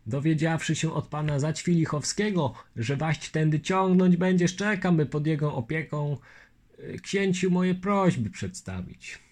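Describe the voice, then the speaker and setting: A man's voice: a little high, animated, unhurried, darkish tone, pitched above the performer's own voice. A man voicing a patient, earnest Lithuanian nobleman with old-fashioned courtesy, in an audiobook reading. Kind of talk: audiobook character voice.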